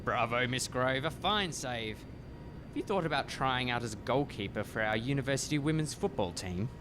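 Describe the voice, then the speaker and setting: Guy doing stuffy bossy male lab inspector, radio comedy, pompous, pitch goes up and down loads, noisy audio. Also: animated, normal speed, close to an everyday voice. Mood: sardonic, irritable. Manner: pompous, officious, condescending. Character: stern, pompous male university lab inspector, easily irritated. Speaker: radio play actor. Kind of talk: comic radio play acting.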